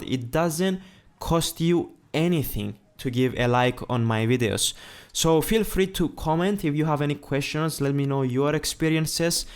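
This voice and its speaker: A man's voice: on the high side, smooth. A young man with a Greek accent, a fitness vlogger talking earnestly to camera in a large indoor gym, in simple wording with restarts. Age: young adult. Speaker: fitness youtuber. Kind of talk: casual vlog talk to camera.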